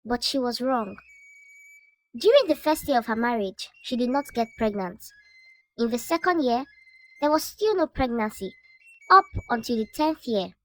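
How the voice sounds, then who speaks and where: A woman narrating a scripted folktale as a storyteller, in a measured, dramatic way. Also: on the high side, lively intonation, fairly bright tone, poor audio.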